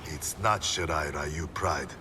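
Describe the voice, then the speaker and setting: A man's voice: a bass voice, takes it slowly, background noise. A man playing a stern, driven ninja clan warrior in a video game, speaking with solemn, formal intensity. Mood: solemn, pleading. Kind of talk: dramatic video game cutscene acting.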